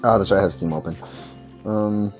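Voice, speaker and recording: A man's voice: on the low side, steady tone, fairly quick, faint music behind. A man giving casual, rambling, laid-back game stream commentary.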